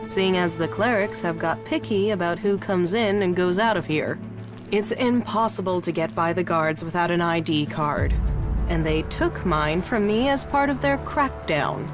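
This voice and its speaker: A woman's voice: fairly low pitch, unhurried, over music, natural voice. A woman playing a shrewd, jaded smuggler in a video game, speaking in a sardonic, sizing-you-up way. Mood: aggrieved, businesslike. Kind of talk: video game dialogue acting.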